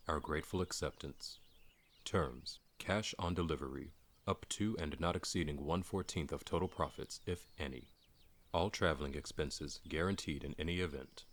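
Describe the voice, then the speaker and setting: Storybook dwarf's letter, male narrator: very low, subdued, pompous, measured formality. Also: a bit bright.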